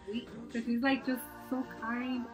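A woman's voice: fairly high pitch, level tone, unhurried, over music. A woman in her twenties chatting casually and laughing often as she hosts a sister tag video from her bedroom. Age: 20s. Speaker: YouTube channel host. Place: bedroom, seated on a couch.

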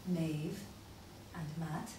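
A woman's voice: deep voice, steady tone, extremely slow. A woman playing a pompous fake spirit medium who speaks with portentous drama in a comedy sketch. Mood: solemn, portentous. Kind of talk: stage comedy sketch acting. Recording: echoey room, distant mic, faint noise.

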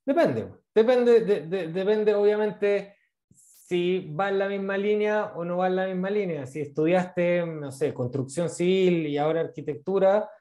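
A man in his thirties with a Chilean accent, giving casual advice on a video call, fast and full of fillers and repeated words. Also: high voice, warm tone. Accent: Chilean Spanish. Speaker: guest speaker on international internships. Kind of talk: casual advice in an online talk. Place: small room indoors. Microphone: video call, earphone mic.

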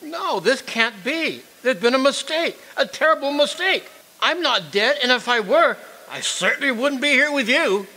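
An actor playing a flustered, indignant executioner with a stammering manner in a radio play. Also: male voice, very high-pitched, animated, moderate pace, pushed and bright, heavily acted, rough recording. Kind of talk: stage radio drama acting.

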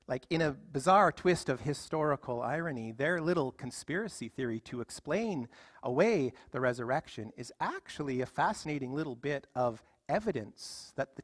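A man's voice: medium pitch, no echo. A man in his thirties preaching a sermon from a church stage, emphatic and full of rhetorical questions. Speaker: pastor. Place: church stage with music stands.

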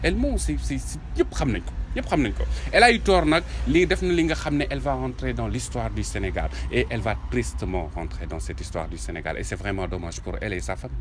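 A man's voice: medium pitch, fast, hardly any pauses. A man in his thirties with a Senegalese accent giving a casual, opinionated interview, insistent and repeating his phrases. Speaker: street interviewee. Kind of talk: casual opinionated vox pop, mixing two languages. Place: clothing stall by a street. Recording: clear traffic and street noise.

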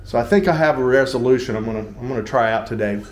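A man's voice: medium pitch, racing. A man in his fifties with an American accent, chatting casually and thinking aloud as he demonstrates DIY, in a folksy, joking way. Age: 50s. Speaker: vlog host. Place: small tiled living room indoors. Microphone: handheld action camera, varying distance. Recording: echoey room, clear background noise.

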